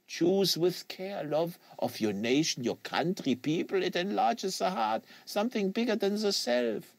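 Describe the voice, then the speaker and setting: A man's voice: sits high, unhurried, vocal fry, no room sound, pitched above the performer's own voice, full character voice. A man voicing an earnest, lecturing assassin for an audiobook, with solemn rhetorical delivery and foreign phrasing. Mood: insistent, earnest. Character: earnest, lecturing French-Canadian male assassin in a wheelchair.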